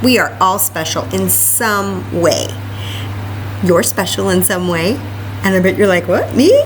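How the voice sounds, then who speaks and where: A woman's voice: medium pitch, background noise. A woman hosting story time for young children, chatting and reading aloud with exclamations and questions addressed to her little listeners.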